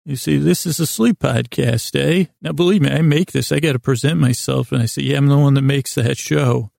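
A man hosting a sleep podcast in a creaky, gravelly voice, rambling haltingly and nonsensically with apologetic, self-deprecating asides, recorded in a small closet. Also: medium pitch, darkish tone, no room sound, studio quality. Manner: halting, drawn-out, meandering delivery with repeated self-interruptions. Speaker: podcast host.

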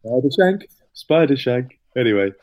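A man hosts a weekly podcast and opens the show himself. He chats in a casual, teasing way and keeps the banter light. His voice sits at a middle pitch. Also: big pitch swings, moderate pace, noisy background. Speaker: podcast host. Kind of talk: casual chat.

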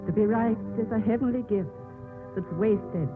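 An actress playing a grave, forgiving older Mother Superior with solemn, measured delivery in 1950s radio drama. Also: medium pitch, lightly acted. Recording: dull old radio, music behind.

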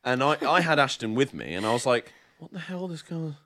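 A man's voice: mid-range voice, big pitch swings, brisk, pushed and bright. A young man from southern England, chatting casually as a podcast co-host and telling stories with lots of fillers and restarts. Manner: rambling storyteller, many fillers and restarts. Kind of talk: casual chat.

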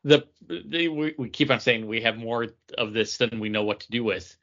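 Hesitant man with a bright, forceful voice. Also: medium pitch, steady tone, moderate pace, highs cut off. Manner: hesitant, restarts sentences. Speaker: podcast co-host. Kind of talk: casual chat.

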